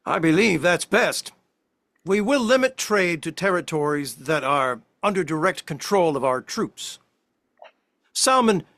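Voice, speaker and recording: A man reading the role of a deliberate, authoritative 1860s American president in a historical play. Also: fairly high pitch, fairly bright tone, dry recording, exaggerated voice.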